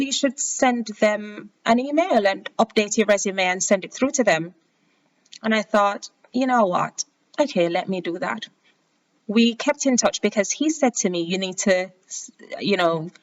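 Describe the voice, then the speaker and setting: A young woman with a Jamaican accent talks through her own story in a relaxed, chatty podcast style. Her voice sits at a middle pitch, and she livens up when she acts out conversations with other people. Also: normal speed, highs cut off. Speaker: podcast host. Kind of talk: casual personal storytelling.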